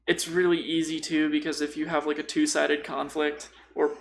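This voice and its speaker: Higher-pitched man with gravelly creak. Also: little pitch movement, normal speed, a little hiss or hum. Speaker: podcast co-host discussing tabletop role-playing. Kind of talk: casual conversational talk.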